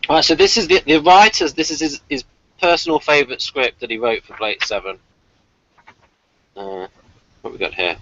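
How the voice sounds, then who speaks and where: A man's voice: mid-range voice, normal speed, pauses often, a bit bright, highs cut off. A man hosting a casual fan review, chatty and rambling as he thinks aloud. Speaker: host of a TV review discussion.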